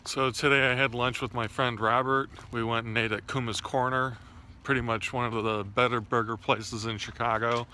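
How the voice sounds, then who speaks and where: A man's voice: medium pitch, little pitch movement, normal speed, sharp tone, a little hiss or hum. A man in his thirties recording a casual video diary on a phone held at arm's length. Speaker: vlogger. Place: outdoors on city residential streets.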